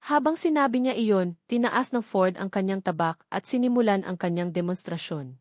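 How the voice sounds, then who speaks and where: A woman's voice: medium pitch, expressive, moderate pace, no room sound, clean studio sound. A woman with a Filipino accent reading scripted novel narration as an audiobook narrator.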